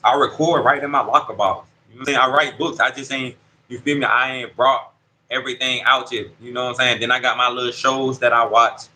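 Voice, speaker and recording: Man in his thirties, bright voice. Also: mid-range voice, steady tone, a little fast, a little hiss or hum, low-quality recording. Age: 30s. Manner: street slang, keeps asking "you see what I'm saying". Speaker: incarcerated interviewee. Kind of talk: casual conversational storytelling. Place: prison dorm.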